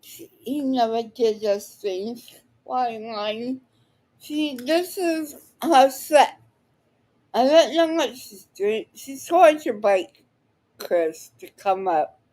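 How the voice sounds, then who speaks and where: A woman giving blunt, combative unscripted commentary in halting, broken sentences, often swearing. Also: on the high side, mellow, poor audio. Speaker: online commentator reacting to another speaker. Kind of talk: unscripted live reaction commentary.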